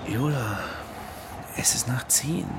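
A man's voice: mid-range voice, expressive, slow pace, very noisy. An actor playing a brooding, weary diving instructor in a radio drama, telling his story in the first person. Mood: hesitant, subdued. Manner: reflective, literary narrating. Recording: music behind the voice.

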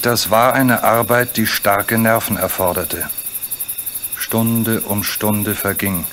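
A man narrating a radio play story in a measured, literary manner. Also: on the low side, fairly bright tone, a little hiss or hum.

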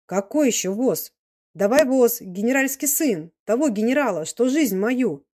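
A woman voicing a loud, tipsy old peasant ex-soldier who rambles in devoted exclamations, for a storybook. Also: fairly high pitch, lively intonation, unhurried, dry recording, studio quality, voice pushed up. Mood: excited, insistent. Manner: exclamatory, rambling folk speech.

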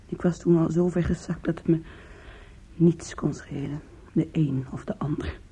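An actress in a Dutch radio play playing a weary, anguished young woman with a shamed past, confessional, halting and pleading. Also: low voice, steady tone, dark tone, slightly rough, exaggerated voice. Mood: resigned, bitter. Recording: muffled, lo-fi old radio recording.

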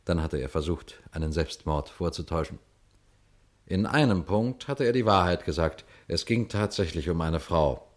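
An actor playing a shrewd, unhurried police detective who narrates his case in a radio crime drama, deliberate and pointed. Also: sits low, animated. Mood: calm, explanatory. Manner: deliberate, pointed, addresses listeners directly.

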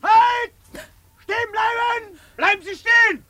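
An actor playing a commanding pursuer in a radio drama, calling out orders in a raised voice. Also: male voice, very high-pitched, steady tone, takes it slowly, exaggerated voice. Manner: shouting commands. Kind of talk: 1950s German radio drama acting.

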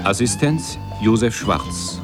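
A male radio announcer reads credits formally. He is slow and a bit low, with lively intonation, while loud guitar music plays behind him. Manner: measured, formal.

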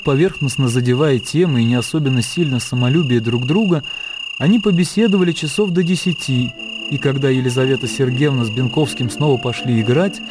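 A man narrating a literary radio drama with measured, scripted storytelling delivery. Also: mid-range voice. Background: music and crickets.

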